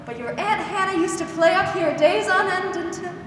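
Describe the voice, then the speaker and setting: A woman's voice: very high-pitched, normal speed. A woman playing a kindly, no-nonsense aunt in a 1940s-style radio drama. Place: school theater stage. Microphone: stand microphone, masked performer. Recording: very echoey, noisy theater, distant mic.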